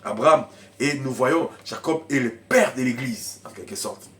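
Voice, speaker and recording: A man preaching a sermon as pastor, who has a cold and coughs. He moves between shouted, fervent lines and quiet, mumbled asides. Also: mid-range voice, lively intonation, moderate pace. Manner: speaks in tongues in bursts.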